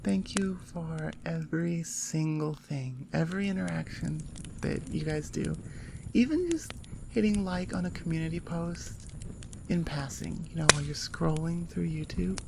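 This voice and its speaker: A woman talks informally to her listeners in an unhurried, rambling way. Her voice has a lot of vocal fry, and she is self-deprecating and casual. There is clear noise behind her. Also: low voice. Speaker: ASMR audio creator and host.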